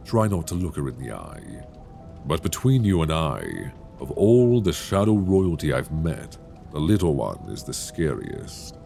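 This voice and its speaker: A man voicing a gravelly ancient dragon. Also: deep voice, darkish tone, heavily acted. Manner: slow, intimate, coaxing and menacing. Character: ancient possessive male dragon, towering, seductive. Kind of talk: ASMR roleplay. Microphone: binaural mic.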